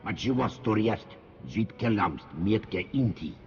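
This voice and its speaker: An actor playing a grave alien leader in a game cutscene, speaking slowly and solemnly in an alien tongue. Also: male voice, on the low side, expressive, highs cut off. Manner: slow, deliberate, solemn. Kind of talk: video game cutscene acting. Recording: long reverb, music behind.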